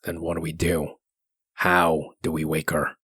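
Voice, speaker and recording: A man playing a gruff, cold, commanding wolf sheriff in human form, curt and threatening, in a comic dub. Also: rumbling low, little pitch movement, unhurried, bright, forceful tone, no room sound, studio quality, heavily acted. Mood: impatient, curt. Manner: curt, threatening, coolly controlled.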